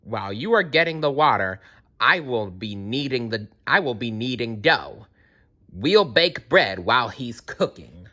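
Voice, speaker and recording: A wicked old witch from a storybook, acted out by a man reading aloud. The delivery is commanding and scheming, with forceful tone and lively swings in pitch. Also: measured pace, full character voice. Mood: brisk. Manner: commanding, menacing. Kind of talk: storybook character voice in a read-aloud.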